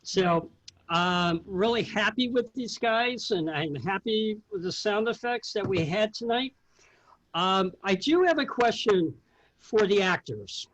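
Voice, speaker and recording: Slow-talking man explaining his craft. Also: high voice, animated, a bit bright, dull audio. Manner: halting, searching for words. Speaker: theatre sound effects artist. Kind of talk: informal explanation.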